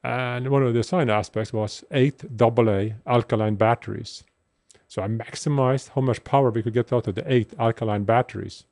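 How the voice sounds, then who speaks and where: A man's voice: medium pitch, steady tone, normal speed, a little mellow. A man with a Norwegian accent, a guest engineer telling technical stories in plain, casual podcast talk. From Norway. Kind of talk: casual chat.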